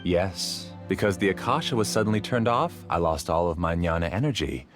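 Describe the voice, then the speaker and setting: A game villain-scholar with a low voice and lively, swinging intonation over faint music. He lectures with articulate, theatrical self-assurance, coolly justifying his obsession. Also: a little fast. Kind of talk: video game dialogue acting.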